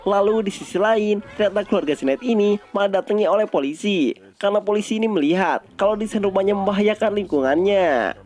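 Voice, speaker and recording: A man's voice: high voice, a little fast, a little mellow. A man with an Indonesian accent narrating a story recap in a casual, colloquial storytelling style.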